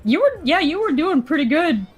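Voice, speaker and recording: A woman's voice: high voice, big pitch swings, moderate pace. A woman voicing a playful female bat from a video game, chatting casually as a livestream co-host. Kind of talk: in-character improvised livestream banter. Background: background music.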